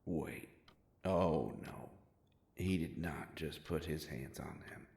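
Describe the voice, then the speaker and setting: A man acts out a gruff werewolf private eye in an audio drama. His voice is extremely low and full of gravel, and he takes his time with every word. He comes across as a protective, sardonic boss, menacing in a folksy tough-guy way. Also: darkish tone, full character voice. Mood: amused, then irritated. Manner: slow, drawling, threatening, folksy tough-guy talk. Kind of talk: dramatic roleplay audio monologue.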